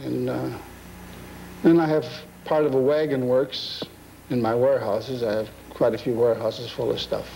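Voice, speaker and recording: An older man in a casual interview, talking plainly and haltingly in short phrases on an old videotape recording. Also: mid-range voice, fairly even intonation, gravelly, low-quality recording. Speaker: collector interviewed. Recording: old degraded videotape, faint noise.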